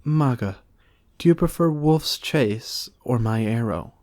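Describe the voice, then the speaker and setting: A man voicing a serene, gentle female lamb spirit from a storybook, speaking formally and with calm reassurance. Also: mid-range voice, slow pace, dry recording, pitched above the performer's own voice. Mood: reassuring. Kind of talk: storybook character reading.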